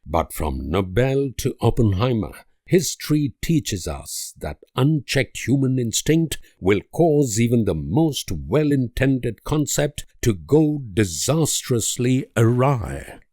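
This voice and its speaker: An actor playing a grave, idealistic and world-weary political leader in a strategy game, speaking in measured, solemn oratory with dramatic pauses. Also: male voice, medium pitch, very animated, darkish tone, no room sound, studio quality. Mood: earnest, solemn.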